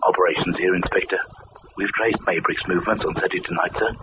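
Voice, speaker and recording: An actor as a deep-voiced, dutiful police officer. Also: male voice, steady tone, close to an everyday voice. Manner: brisk, dutiful, says sir a lot. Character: deferential police operations officer reporting in. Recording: muffled old radio broadcast with hiss.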